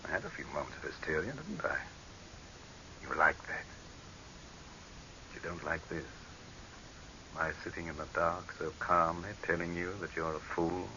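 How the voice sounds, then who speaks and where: A hissy, dull 1930s radio horror recording carries a man acting as a proud dictator trapped and facing death. His voice is low and forceful, and he speaks like a commanding orator, full of contempt for whoever hears him. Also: moderate pace, long pauses, close to an everyday voice. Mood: calm, mocking.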